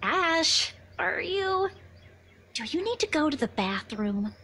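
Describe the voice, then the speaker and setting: A woman's voice: high voice, sing-song, takes it slowly, pushed and bright, exaggerated voice. A woman playing a blunt but concerned young friend in a visual novel.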